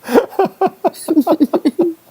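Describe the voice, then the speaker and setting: A man co-hosting a casual podcast chat, raspy and exhausted, talking in gruff bursts. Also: very high-pitched, extremely slow, background noise. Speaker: podcast co-host.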